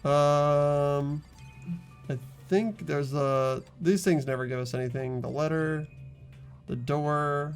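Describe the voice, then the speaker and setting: A man's voice: a little high, slow pace, soft background music. A young man hosting a group game playthrough, moving between reading narration and casual commentary.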